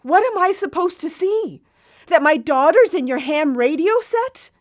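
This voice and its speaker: A woman's voice: very high-pitched, lively intonation, measured pace. An actress playing a stern older mother-in-law in a live radio drama, worried, reproachful and earnest. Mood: exasperated.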